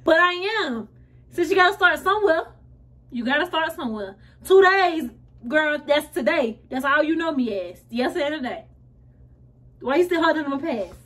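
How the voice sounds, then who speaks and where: A woman's voice: sits high, expressive, moderate pace, fairly bright tone. A woman in her twenties with an American accent, chatting casually and slangily while getting ready in a small room. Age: 20s. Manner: slangy, conversational. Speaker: vlogger. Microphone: camera a short distance away. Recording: slightly distant camera mic, faint noise.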